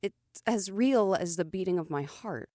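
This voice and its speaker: A woman playing an anxious, grieving daughter who narrates her own thriller in a somber, measured, introspective way. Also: fairly low pitch, animated, warm tone, a little hiss or hum. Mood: nervous. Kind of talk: dramatic first-person audio drama narration.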